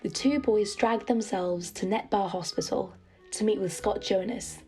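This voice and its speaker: A woman's voice: medium pitch, a little slow, darkish tone, natural voice. A woman reading scripted narration for an audio drama. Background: music.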